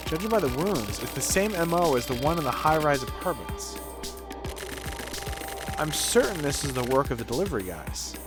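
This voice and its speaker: A man's voice: a little high, sing-song, moderate pace. A man streaming a game, reading its text aloud in character with a theatrical, colloquial delivery. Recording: music playing behind the voice.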